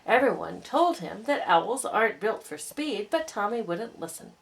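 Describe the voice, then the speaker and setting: A woman's voice: medium pitch, big pitch swings, normal speed, creaky. A woman voicing a self-important, talkative owl in a children's story, pompous and long-winded. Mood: pompous, rambling.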